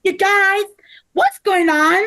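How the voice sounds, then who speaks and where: A woman's voice: very high voice, fairly even intonation, slow, bright, forceful tone, heavily acted. A woman playing a curious, innocent young girl in a scripted table read. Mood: concerned.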